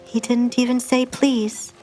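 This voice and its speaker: A woman's voice: a little high, little pitch movement, unhurried, mellow, soft background music, natural voice. A woman voicing a wary, well-meaning male priest in an audio drama, with a dry, reproachful, muttering manner. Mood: disapproving.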